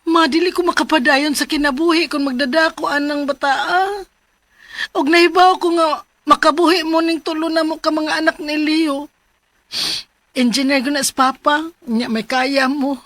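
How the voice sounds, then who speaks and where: A woman's voice: high-pitched, fairly even intonation, normal speed, a bit bright, no room sound. A woman playing a desperate, battered young mother in a radio drama, pleading in a Cebuano accent. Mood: anguished pleading. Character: battered young mother, desperate, leaving her abusive husband.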